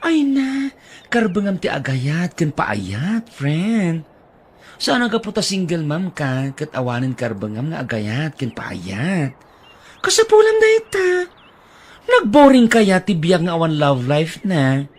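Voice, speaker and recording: A woman voicing a lively, teasing female friend in an audio drama, full of exclamations. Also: low-pitched, takes it slowly, husky, exaggerated voice.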